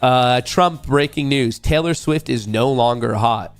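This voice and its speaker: A man's voice: medium pitch, a little slow, soft background music. A man hosting a casual livestream, slightly nasal as he gets over a cold, joking loosely and talking straight to his chat. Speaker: livestream host.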